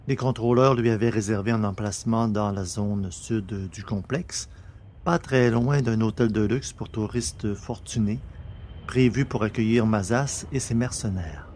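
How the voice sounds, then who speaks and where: A man narrating a science fiction story from a script in a measured, literary manner. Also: medium pitch, darkish tone, a little hiss or hum.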